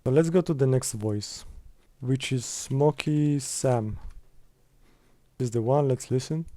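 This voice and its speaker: A man's voice: medium pitch, unhurried, warm tone, no echo. A man presenting a casual step-by-step screen tutorial in a conversational way.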